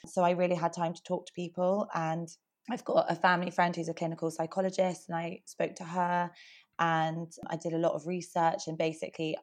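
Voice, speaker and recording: A young woman with a croaky voice, chatting earnestly as a podcast guest. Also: fairly low pitch, level tone, brisk. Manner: chatty, earnest, fillers like "like" and "sort of". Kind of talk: casual interview chat.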